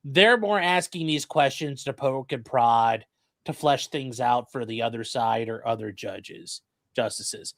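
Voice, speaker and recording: A man's voice: mid-range voice, unhurried, gravelly, a bit bright, no echo. A man hosting a live stream, reading out viewer questions and giving casual legal commentary with wry, joking asides.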